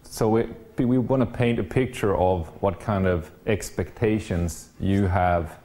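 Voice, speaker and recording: Slow-talking man leading a workshop. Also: lowish voice, warm tone. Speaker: workshop leader. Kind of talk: instructing a group.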